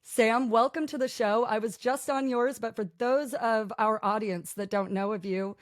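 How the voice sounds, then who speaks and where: A woman's voice: a little high, fairly even intonation, moderate pace, no echo, clean studio sound. A woman hosting a podcast interview, speaking in an upbeat, earnest way. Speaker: podcast host.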